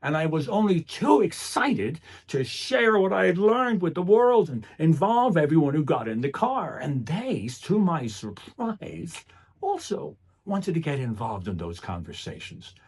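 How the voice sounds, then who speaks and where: Man giving a speech, very animated pitch. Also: measured pace, breathy edge. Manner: earnest, emphatic, rhetorical questions. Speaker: public speaker. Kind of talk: motivational speech.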